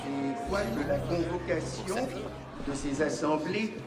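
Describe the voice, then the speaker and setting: An actor playing a pompous, long-winded French orator giving a formal declamatory speech in a video game. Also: male voice, fairly high pitch, a little slow, over music, natural voice.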